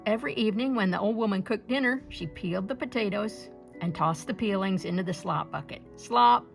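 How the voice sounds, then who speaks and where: A woman storyteller reading a picture-book folktale aloud in an expressive way. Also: mid-range voice, fairly quick, creaky, soft background music.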